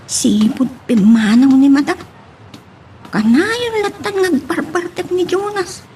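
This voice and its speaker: Casting: worried housemaid, very high voice, Ilocano accent, gossipy radio drama style, noisy backdrop. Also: female voice, takes it slowly, darkish tone. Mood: bustling, concerned. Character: female household servant, gossipy and concerned. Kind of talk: Filipino radio drama acting. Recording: clear background noise.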